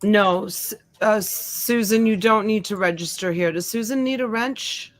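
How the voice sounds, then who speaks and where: A woman's voice: mid-range voice, a little slow, dry recording. Chatty middle-aged woman, Italian native. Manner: chatty, greets viewers by name, many fillers. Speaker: live auction host. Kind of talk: casual live-stream chat. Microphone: USB desk mic.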